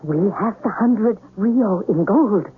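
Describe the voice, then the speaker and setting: An actress playing a cold, calculating conspirator in a 1970s radio ghost tale, speaking deliberately and matter-of-factly. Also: female voice, medium pitch, lively intonation, slight background noise. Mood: cool, calculating. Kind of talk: 1970s radio drama acting. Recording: tinny 1970s radio broadcast with music.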